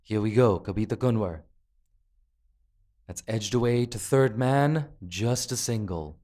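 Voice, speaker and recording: A man's voice: fairly low pitch, unhurried, darkish tone. A man commentating live on cricket from a commentary box, chatty and self-deprecating, his voice starting to give out. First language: not Nepalese. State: voice tiring, losing his voice.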